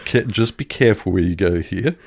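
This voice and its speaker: A man co-hosting a podcast in casual chat, with wry, deadpan remarks. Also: deep voice, brisk, vocal fry, no echo, studio quality. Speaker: podcast co-host.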